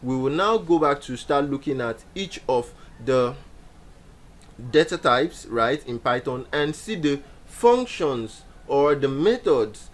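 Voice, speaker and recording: A young man talks through a beginner coding tutorial from a small indoor room, picked up by a laptop mic. He explains step by step, circling back to repeat key points, with plenty of ums along the way. His voice sits at an everyday middle pitch. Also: expressive, takes it slowly. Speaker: programming instructor. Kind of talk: screen-share coding tutorial.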